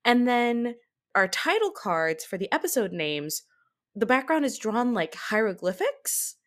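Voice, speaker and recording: A woman's voice: on the high side, sing-song, measured pace. A woman co-hosting a casual podcast chat, often chuckling as she talks. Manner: giggly, chuckles while talking. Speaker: podcast co-host discussing anime.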